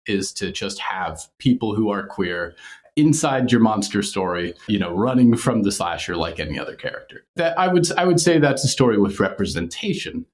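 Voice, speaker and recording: A man speaking reflectively as an interview guest, articulate and thoughtful, often qualifying what he says. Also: deep voice. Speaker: podcast creator and writer, interview guest.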